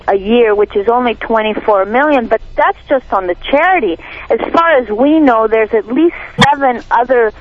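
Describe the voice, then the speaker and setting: A woman's voice: a little high, dry recording. A woman from Peru, a native Spanish speaker with a Peruvian Spanish accent, speaking as an activist guest in a radio interview, earnest and indignant with frequent restarts. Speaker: animal rights activist guest. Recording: dull lo-fi radio broadcast.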